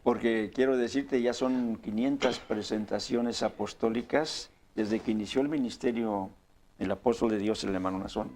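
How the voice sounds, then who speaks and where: A man hosting a religious talk show, speaking earnestly in a measured way with frequent fillers. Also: on the low side, darkish tone, a little hiss or hum. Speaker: host.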